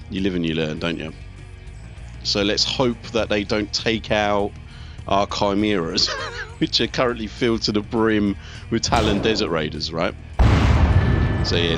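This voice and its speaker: Low-voiced man doing game commentary. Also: a little slow. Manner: conversational, thinking aloud. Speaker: video host, gamer. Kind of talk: casual let's play commentary on a strategy game. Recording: game music behind the voice.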